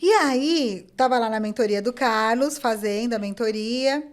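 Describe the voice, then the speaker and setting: A woman's voice: a little high, unhurried, slight background noise. Chatty woman telling stories. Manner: animated, acts out quoted dialogue, many fillers. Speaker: podcast guest. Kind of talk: casual interview storytelling.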